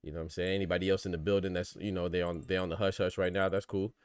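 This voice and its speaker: A man from the United States with a fairly low voice hosts a livestream talk. He speaks colloquially and casually, laying out his opinions to the chat. The sound is dry, with very little room on the voice. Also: flat delivery, rapid-fire, clear, resonant tone, dry recording. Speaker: boxing podcast host.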